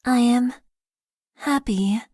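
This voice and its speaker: A woman voicing a shy, formal young mecha pilot in a game, speaking softly in stiff, literal phrases. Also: fairly high pitch, slow, no room sound, studio quality. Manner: soft-spoken, stiff and literal. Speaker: voice actress. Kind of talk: game character voice lines.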